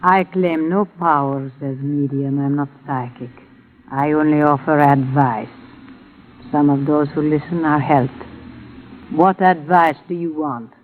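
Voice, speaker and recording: A thin old radio recording with faint noise carries an actor as a cryptic, solemn advice-giver. The voice is on the high side, formal and measured, guarding every answer in 1950s radio drama style. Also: male voice, fairly even intonation, narrow, tinny audio, lightly acted. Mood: solemn, guarded. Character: guarded, solemn, cryptic fortune-teller-like advisor Madam Star.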